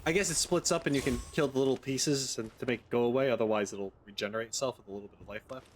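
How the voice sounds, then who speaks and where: A man's voice: medium pitch, racing, slight background noise. A man hosting a let's play, commenting casually and musing to himself in an easygoing way. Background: game music.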